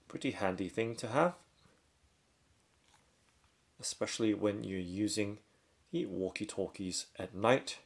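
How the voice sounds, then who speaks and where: A man with a low, creaky voice reviews a product hands-on. He talks very slowly and casually, going step by step in short, halting phrases. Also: darkish tone. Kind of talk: casual unboxing and hands-on demonstration.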